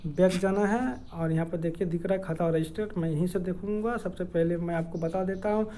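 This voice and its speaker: A man with a Jharkhand accent giving an informal explainer in a halting, hesitant way, with many filled pauses and repeated words. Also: high voice, fairly even intonation, warm tone, slight background noise. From Dumka district, Jharkhand, India. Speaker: educational video creator. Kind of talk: informal explainer with screen walkthrough.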